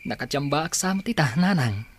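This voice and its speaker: A man's voice: on the high side, lively intonation, brisk, slight background noise, close to an everyday voice. A man acting a dutiful, hopeful son in a radio drama. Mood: earnest. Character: dutiful, hopeful grown son with big dreams.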